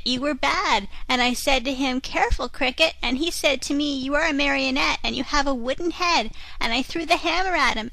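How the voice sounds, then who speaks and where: A woman with a Canadian accent voicing a hungry, whiny wooden puppet boy, pleading in a high voice. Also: gravelly, bright, forceful tone, no echo, full character voice, poor audio.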